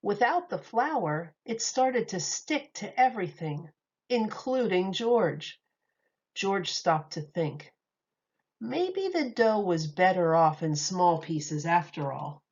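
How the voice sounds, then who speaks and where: A woman teacher reading a children's picture book aloud in an expressive, lively way, in a slightly muffled recording. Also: lowish voice, slow, slightly rough.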